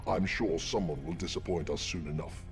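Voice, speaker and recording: Very deep, gruff robot warrior. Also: male voice, moderate pace, faint music behind. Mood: sceptical. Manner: dour, dry. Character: gruff, pessimistic veteran robot warrior, dour and dry. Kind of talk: video game dramatic acting.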